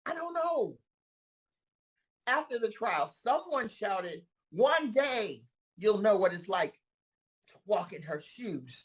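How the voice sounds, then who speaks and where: A woman playing an aggrieved, bewildered disgraced crony with a husky voice in a comedic table read. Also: medium pitch, very animated, takes it slowly, pauses often, lo-fi sound, exaggerated voice. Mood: haunted. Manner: indignant, emphatic, dramatic. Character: Rudy, aggrieved, bewildered disgraced man trapped in woman's body.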